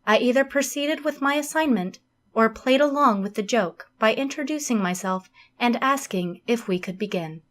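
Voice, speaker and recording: A woman playing a literal, humorless alien Starfleet officer in an audio drama, speaking formally and exactly with a deadpan manner. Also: on the high side, normal speed, lightly acted. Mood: formal, detached. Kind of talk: scripted audio drama log and interview.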